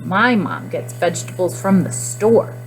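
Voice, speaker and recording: A woman's voice: on the low side, animated, a little slow, faint music behind, poor audio. A woman voicing a reluctant, stubborn young boy in a storybook, sulky and refusing.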